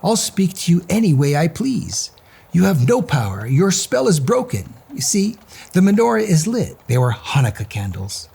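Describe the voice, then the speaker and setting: A man's voice: on the high side, huge pitch swings, normal speed, creaky, mellow, husky, pitched above the performer's own voice, heavily acted. A man voicing a brave, clever storybook hero who defies a goblin with taunting, unafraid nonchalance. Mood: defiant.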